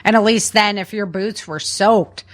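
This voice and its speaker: A woman's voice: medium pitch, measured pace. A woman hosting a podcast, chatting casually about survival tips in an informal, plain-spoken way. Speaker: podcast host.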